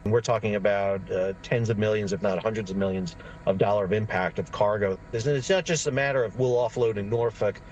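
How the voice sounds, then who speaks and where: A man's voice: fairly low pitch, steady tone, brisk, darkish tone, muffled recording, a little hiss or hum. A man giving explanatory interview answers as an expert for a news report.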